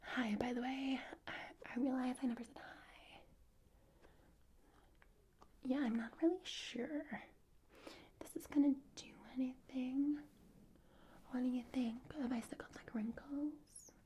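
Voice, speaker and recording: A woman voicing a ditzy young woman who whispers in quick bursts and fills every sentence with "like" in an ASMR role-play. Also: high-pitched, steady tone, warm tone, slight background noise. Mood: airy. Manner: whispering, filler-heavy "like" talk, quick bursts. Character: ditzy young woman fussing over wrinkles.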